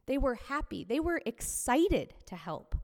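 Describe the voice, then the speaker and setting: A woman minister giving a personal, reflective sermon in a measured way. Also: high-pitched, very animated. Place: fellowship hall. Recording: long hall reverb.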